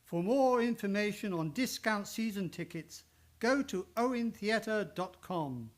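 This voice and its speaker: A male radio announcer with a high voice and a very slow pace. He delivers scripted narration in a deliberate, theatrical way. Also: animated. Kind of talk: scripted narration and promo reading. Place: radio studio, live performance.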